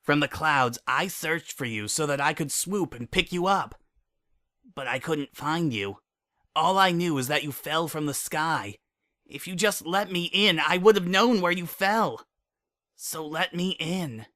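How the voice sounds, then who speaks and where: Brash young female pegasus pony, played by a man, raised pitch, desperate, grief-stricken, for dramatic fiction audio. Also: unhurried, pushed and bright. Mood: desperate, angry. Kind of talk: dramatic fan fiction reading.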